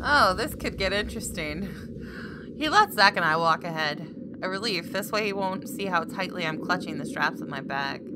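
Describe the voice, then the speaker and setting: A woman's voice: on the low side, expressive, moderate pace, background noise. A woman reading first-person story narration expressively, as a gamer playing through a visual novel. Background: loud game music.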